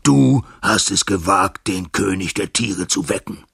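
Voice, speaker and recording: Man playing a haughty gravelly lion king. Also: a little slow, fairly bright tone, no echo, studio quality, exaggerated voice. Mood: furious, imperious. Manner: haughty, booming, emphatic. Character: proud, imperious male lion, king of beasts.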